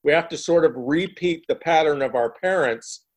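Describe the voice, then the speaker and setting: A man's voice: a little high, normal speed. Reflective male interview host. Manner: reflective, sums up the guest's points at length.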